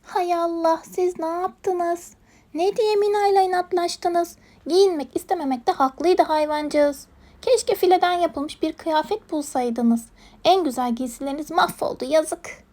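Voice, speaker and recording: A woman's voice: high-pitched, normal speed, darkish tone, natural voice. A woman voicing a fussy elderly woman in her eighties in a children's storybook, exclaiming and reproachful as she scolds her pets. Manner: exclaiming, reproachful, sympathetic to the hedgehog. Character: fussy, scolding 86-year-old woman owner of many pets.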